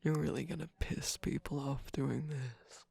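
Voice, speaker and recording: A creaky, guarded villain jester played by an ASMR performer for an intimate roleplay. He drawls slowly with a teasing, sardonic edge, often slipping into a breathy whisper. The sound is close and very dry. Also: mid-range voice, steady tone, a little mellow, no echo, heavily acted. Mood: sardonic, grudging. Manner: sardonic, teasing, drawn-out phrasing. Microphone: close, dry microphone.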